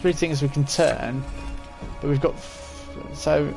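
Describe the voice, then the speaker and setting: A man's voice: on the high side, steady tone, takes it slowly, music in the background. A man in his forties giving casual live-stream commentary as he plays, chatting and thinking aloud. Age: 40s.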